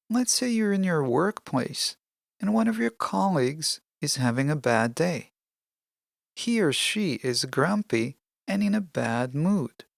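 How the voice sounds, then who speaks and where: Podcast host, creaky voice, medium pitch, scripted self-help narration, measured and deliberate. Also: dry recording, studio quality.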